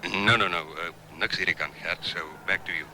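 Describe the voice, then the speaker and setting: A man's voice: low voice, bright, forceful tone. A man playing a ponderous, prim, hesitant South African cricket reporter in a 1970s radio comedy. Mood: flustered, hesitant. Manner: halting, pompous. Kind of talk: mock outside-broadcast report in radio comedy. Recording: noisy 1970s radio recording.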